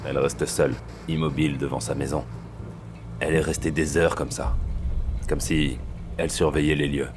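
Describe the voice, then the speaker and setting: A male actor plays a paternal male police superior with an extremely deep voice. He briefs his agent in a measured, confiding, reassuring way. Music plays clearly behind him, as in a thriller audio drama. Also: level tone. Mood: grave, confiding. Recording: background music under the voice.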